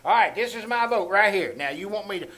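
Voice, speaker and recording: A man's voice: sits high, animated, sharp tone. A man playing a gullible, grumbling rural old-timer with a Southern American accent in a radio comedy reenactment, hemming and hawing. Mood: puzzled, dithering. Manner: folksy, hemming and hawing, drawn-out exclamations. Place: small-town theater stage before an audience. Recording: live theater recording with background noise.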